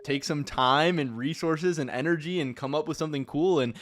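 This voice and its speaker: A man's voice: fairly high pitch, a little fast, fairly bright tone. A man co-hosting a casual esports podcast, chatty and loose, leaning on fillers like "you know" and "like". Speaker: podcast co-host.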